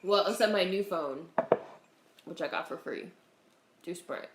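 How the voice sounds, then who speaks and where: A woman hosting a casual livestream, greeting viewers in a relaxed, chatty way while congested with a cold. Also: fairly low pitch, unhurried, reverberant, slight background noise. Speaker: livestream host.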